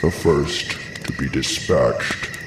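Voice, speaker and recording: An actor playing a grave, horrified messenger in a classical tragedy, declaiming a dramatic account in a gravelly voice. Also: male voice, extremely low pitch, slow pace, reverberant, recorded from a distance, background noise, heavily acted. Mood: horrified, solemn. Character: horrified royal messenger recounting a ghastly sacrificial murder. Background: music.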